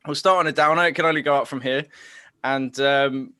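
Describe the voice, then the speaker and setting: A man's voice: mid-range voice, fairly even intonation, brisk, pushed and bright, clear, resonant tone, dry recording, studio quality. A man hosting an online interview show, chatty and teasing with many fillers. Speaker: host.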